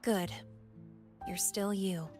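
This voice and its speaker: An actress voicing a guarded, sharp-witted young academy student in a video game, cool and wry in manner. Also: female voice, mid-range voice, fairly even intonation, drawn-out words, faint music behind.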